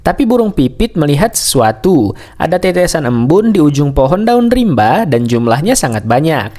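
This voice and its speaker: A man's voice: fairly high pitch, big pitch swings, moderate pace, dark tone. A man narrating a scripted bedtime story for children.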